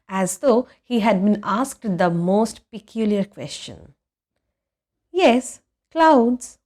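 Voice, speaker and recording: A woman reads a story aloud at a slow, measured pace. Her narration is expressive and scripted, with deliberate pauses for drama, in a mid-pitched voice. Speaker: audiobook reader.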